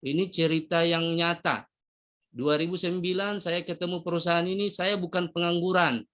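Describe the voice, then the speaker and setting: A man's voice: fairly high pitch, fairly even intonation, normal speed, pushed and bright. A middle-aged man from Medan giving a motivational business presentation, addressing the audience directly with rhetorical questions and emphatic rising bursts. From Medan, North Sumatra, Indonesia.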